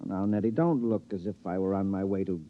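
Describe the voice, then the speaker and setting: An actor playing a distracted, impatient, hesitant husband in a 1950s radio drama. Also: male voice, sits low, fairly even intonation, fairly quick, lightly acted. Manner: offhand, hesitant. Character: distracted, impatient husband, evasive and weary of affection. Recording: muffled old radio recording.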